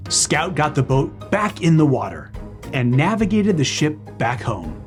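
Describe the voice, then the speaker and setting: Very expressive man reading to kids over music. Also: a little high, a little slow. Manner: playful, expressive. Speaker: co-host of a children's read-aloud show. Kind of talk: animated read-aloud narration for young children.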